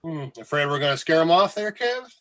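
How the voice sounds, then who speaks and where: Man acting as a sardonic comedy co-host, very high bright voice, mocking, goading, husky, radio play. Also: animated, brisk. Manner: mocking, exclamatory.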